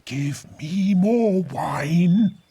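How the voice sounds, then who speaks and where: A man voicing a servile, bitter island monster in a storybook, with slow, drawn-out words. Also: high-pitched, lively intonation, creaky, warm tone, breathy edge, dry recording, voice pushed up, exaggerated voice. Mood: demanding. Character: strange fishy island monster, servile, bitter, urging murder. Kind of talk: storybook character voice.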